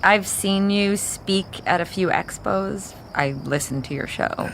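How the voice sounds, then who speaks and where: An actress playing a lonely, forthright mourner in a fiction podcast, with a gravelly fry and a terse, casual manner. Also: female voice, unhurried, a bit bright, a little hiss or hum, low-quality recording. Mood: wistful, probing. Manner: casual, low-key, terse. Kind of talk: naturalistic fiction podcast acting.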